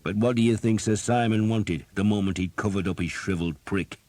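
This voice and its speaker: A man voicing a gruff, foul-mouthed archer captain. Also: lowish voice, fairly quick, no echo, voice pushed up, close to an everyday voice. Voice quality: shouting, cursing.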